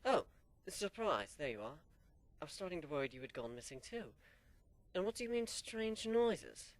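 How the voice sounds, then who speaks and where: A man's voice: on the high side, sing-song, moderate pace, pitched above the performer's own voice. A man voicing a prim, skeptical young pony mare who talks in an exasperated, protesting way, in an amateur dramatic story reading.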